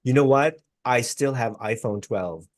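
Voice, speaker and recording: A man hosting an interview over a video call, quick to praise and laugh. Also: medium pitch, no room sound, studio quality. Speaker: interview host. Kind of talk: casual interview chat.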